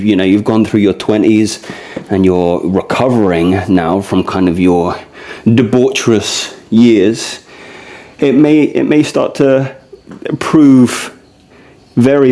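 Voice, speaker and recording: A man's voice: on the low side, dark tone. A man in his early thirties talking casually and discursively to listeners as a fitness vlogger, addressing them directly. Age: early 30s. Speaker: fitness vlogger leading a core routine. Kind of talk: casual rambling talk to camera.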